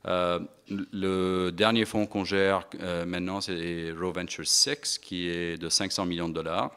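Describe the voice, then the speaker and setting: A middle-aged man giving a speech to an audience in an event hall, halting with many fillers and restarts. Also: sits low, flat delivery, fairly bright tone, clear, resonant tone. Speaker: investment firm partner giving a speech. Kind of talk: conference speech.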